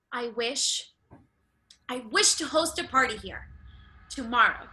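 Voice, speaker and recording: A woman playing a bright, high-voiced spirited daughter. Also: expressive, drawn-out words, heavily acted. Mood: excited. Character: spirited, stubborn, playful young daughter. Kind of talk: dramatic play reading.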